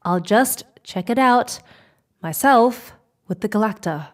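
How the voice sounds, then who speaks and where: High-voiced, stubborn female space explorer. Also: animated, slow pace. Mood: quietly resolute. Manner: pleading, insistent. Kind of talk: full-cast sci-fi audio drama acting.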